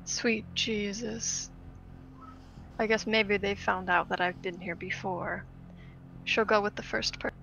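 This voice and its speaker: A woman's voice: mid-range voice, level tone, a little slow, vocal fry, long pauses, bright, forceful tone, poor audio. A woman talking casually as a player in a tabletop roleplaying game.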